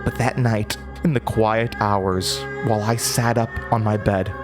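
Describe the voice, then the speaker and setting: A man playing a troubled, trapped young woman, lonely and obsessive, in a halting, intense horror audio drama monologue. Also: on the low side, steady tone, music in the background, close to an everyday voice. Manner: halting, stammering, brooding, intense.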